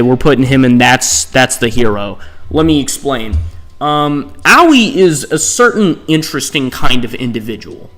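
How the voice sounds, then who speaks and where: A man's voice: mid-range voice, a bit bright. A man giving casual, rambling commentary as a host, with an exasperated, hyperbolic way of ranting. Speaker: host ranking visual novel protagonists.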